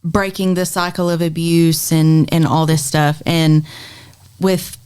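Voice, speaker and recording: A mother on a podcast recounting a family moment in relaxed conversation, thoughtful and halting, with many fillers. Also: deep voice, clear, resonant tone. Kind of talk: casual chat, storytelling.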